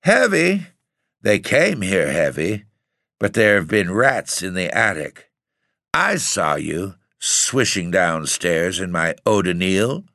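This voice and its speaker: A man voicing a sharp-tongued, bedridden old aunt who speaks with open contempt. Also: sits low, very animated, slow pace, dry recording, studio quality, full character voice. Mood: scornful. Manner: contemptuous, commanding.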